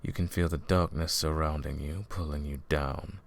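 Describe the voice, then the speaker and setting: Man acting a very deep, scheming royal advisor. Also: fairly even intonation, creaky. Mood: hypnotic, gloating. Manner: silky, condescending, theatrical, drawn-out menacing phrasing. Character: smug scheming royal advisor and sorcerer, usurping the throne. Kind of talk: fantasy audio roleplay, intimate villain monologue.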